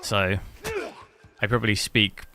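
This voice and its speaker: A man streaming a game, chatting casually with rambling, halting restarts. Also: lowish voice, level tone, pushed and bright, faint music behind. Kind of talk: casual live stream chat.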